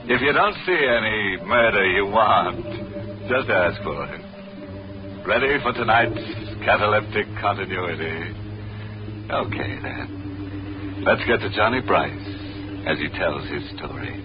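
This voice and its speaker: An actor playing a gleefully macabre horror show host in 1940s radio style, theatrical and mock-cordial in a sinister way. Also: male voice, lowish voice, expressive, measured pace, noisy background. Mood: mock-cordial, ghoulish. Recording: muffled 1940s radio recording with music.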